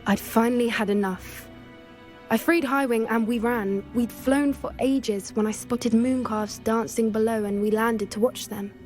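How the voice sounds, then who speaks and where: An actress playing an earnest young female student who loves magical creatures, speaking in a soft, thoughtful, sometimes hesitant way in video game dialogue. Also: fairly high pitch, fairly even intonation, measured pace, faint music behind, natural voice. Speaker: game character.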